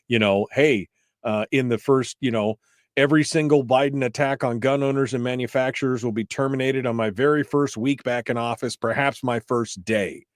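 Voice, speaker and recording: A man's voice: mid-range voice, moderate pace, no room sound, studio quality. A man hosting a political talk radio show, talking conversationally and often restarting his phrases for emphasis.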